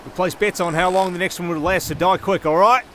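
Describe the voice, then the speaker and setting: A man's voice: sits high, steady tone, brisk, slight background noise, close to an everyday voice. A man voicing a gleefully cruel pirate crewman who jeers and goads in a fantasy audio roleplay. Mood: jeering. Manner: jeering, goading.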